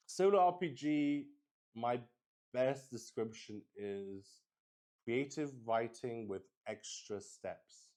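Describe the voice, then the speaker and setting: Male streamer, lower-pitched, unhurried and rambling; chatty, hesitant explainer for a tabletop roleplaying livestream. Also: a little mellow. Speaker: streamer playing solo tabletop RPG.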